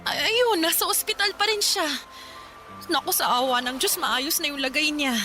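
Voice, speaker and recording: A woman's voice: sits high, normal speed, bright, forceful tone, soft background music. An actress playing a worried mother of six, the wife of a poor fisherman, in a radio drama. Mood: reassuring. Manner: anxious, tearful.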